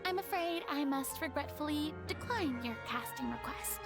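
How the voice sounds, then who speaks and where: A woman's voice: sits high, animated, normal speed, music in the background. A woman voicing a proud, weary young former stage star in a video game, with formal, theatrical, self-deprecating phrasing. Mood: haughty, guarded.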